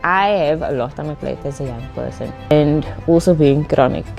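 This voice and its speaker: A woman's voice: low voice, moderate pace, vocal fry, a little mellow, highs cut off, faint music behind. A woman in her thirties giving a candid, wry, somewhat rambling testimonial. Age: 30s. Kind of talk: testimonial interview.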